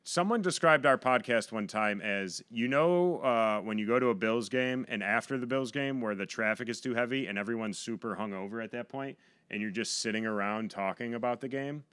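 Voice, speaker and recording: A man's voice: medium pitch, fairly quick, a bit bright, smooth, dry recording. An American man in his thirties telling stories casually and comically, as a guest on a podcast. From Buffalo, New York, USA. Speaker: podcast guest, stand-up comedian. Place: small home podcast room, indoors. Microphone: podcast boom microphone.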